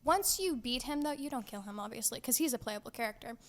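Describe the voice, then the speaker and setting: Playful young woman with a higher-pitched voice. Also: animated, fast talker. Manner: playful, giggly, slangy. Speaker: podcast guest. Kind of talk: casual explanatory chat.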